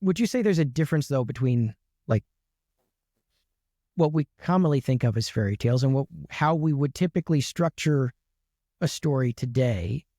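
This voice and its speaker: A man with a mellow, relaxed voice interviews a guest in a casual way. His questions ramble and circle tentatively. The sound is very dry, with no noticeable room. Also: medium pitch, moderate pace, long pauses, dry recording. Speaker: interviewer, host.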